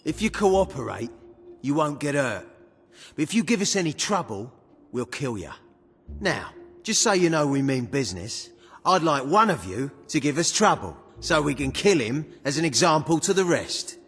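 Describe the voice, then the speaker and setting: An actor playing a menacing, cocky gang thug in a video game, taunting and swaggering like a sardonic bully. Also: male voice, fairly high pitch, big pitch swings, normal speed, a bit bright, husky, heavily acted. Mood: threatening. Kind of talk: theatrical video game villain acting. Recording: reverberant hall, music behind.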